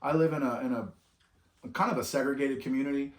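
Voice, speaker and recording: A man's voice: mid-range voice, fairly even intonation, fast. Middle-aged guy co-hosting a travel vlog, loose rambly talk, lots of restarts, echoey room. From California. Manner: rambling, with many restarts and trailing off. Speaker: vlog co-host. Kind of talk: reflective casual chat.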